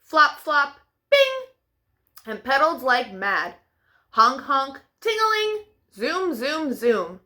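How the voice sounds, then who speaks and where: A woman's voice: high voice, huge pitch swings, slow, pushed and bright. A woman hosting a children's storytime, reading picture books aloud expressively, with playful sound effects. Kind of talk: animated picture-book read-aloud for young children.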